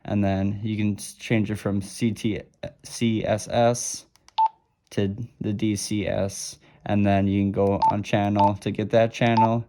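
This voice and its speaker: Low-voiced man casually demonstrating a product. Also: little pitch movement, unhurried, dark tone. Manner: informal, easygoing explaining in simple steps. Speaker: product reviewer.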